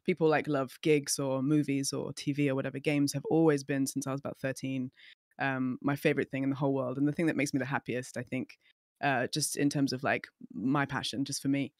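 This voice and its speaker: A young woman, a guest on a podcast, telling her story in casual, animated chat. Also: a bass voice, a little fast, dry recording, clean studio sound. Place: home room, indoors. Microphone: studio mic on a boom arm, video call.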